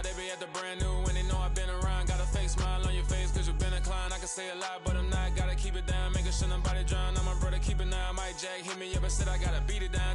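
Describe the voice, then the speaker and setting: Woman rapping quick, really monotone, lowish voice, loud beat. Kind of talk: rhythmic rap vocal. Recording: vocal mixed into loud music track. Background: loud music.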